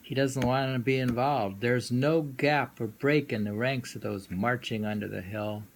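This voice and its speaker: A man reading poems aloud informally and musing on them in a halting way, often repeating lines. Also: mid-range voice, fairly even intonation. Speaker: poetry reader and commentator. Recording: noisy amateur recording.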